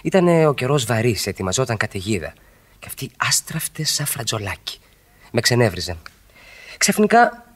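A bashful, self-mocking married man of radio theatre. He speaks with lively rises and falls, hesitant and wry, as a faithful husband would confess. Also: mid-range voice. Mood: sheepish confession. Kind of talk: mid-century Greek radio theatre acting.

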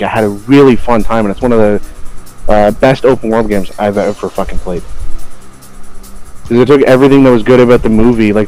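A man's voice: on the low side, a little mellow, low-quality recording. A man co-hosting a podcast, chatting casually about video games in colloquial language with plenty of swearing. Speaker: podcast co-host. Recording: music playing behind the voice. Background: background music.

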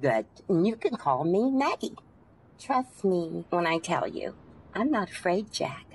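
A woman acting an eccentric, serene taxi passenger in a radio play. She speaks slowly and politely, with a lively lilt and gentle hesitations, over faint background noise. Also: lowish voice. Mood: friendly, calm. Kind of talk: old-time radio drama acting.

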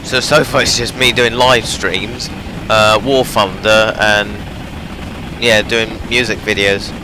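Low, slow-talking young man, strained voice. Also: steady tone. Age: young adult. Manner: rambling, hesitant, many fillers. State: losing his voice. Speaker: gaming channel host. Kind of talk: casual train-simulator gameplay commentary. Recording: noisy home recording.

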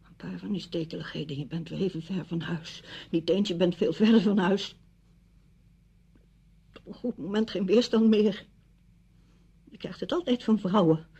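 A woman's voice: mid-range voice, gravelly, dark tone, breathy edge, highs cut off, heavily acted. An actress in a radio drama playing a bitter, wounded single woman confronting her friend with intense reproach. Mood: reproachful. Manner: reproachful, emotionally intense monologue.

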